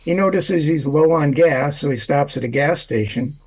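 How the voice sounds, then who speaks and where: Easygoing man slightly high voice. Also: moderate pace, breathy edge. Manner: relaxed, drawn out with lots of uhs. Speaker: weather forecaster and humor guest. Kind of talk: casual radio chat.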